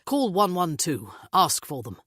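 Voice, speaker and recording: Narrator reading a calm practical guy in an audiobook, low and level, dry sound. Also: unhurried, husky, no room sound, full character voice. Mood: matter-of-fact. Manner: level and matter-of-fact.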